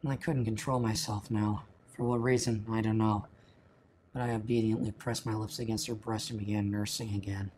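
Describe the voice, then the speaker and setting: A narrator telling a scripted first-person story for an amateur radio play, in a dramatic, deliberate way. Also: mid-range voice, level tone, a little mellow, a little hiss or hum, rough recording. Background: music.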